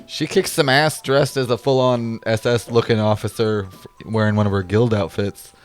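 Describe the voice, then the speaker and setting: A man's voice: lowish voice, fairly even intonation, normal speed, clear, resonant tone, faint music behind. A man co-hosting a podcast in casual chat, enthusiastic and rambling, often trailing off and restarting. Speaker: podcast co-host.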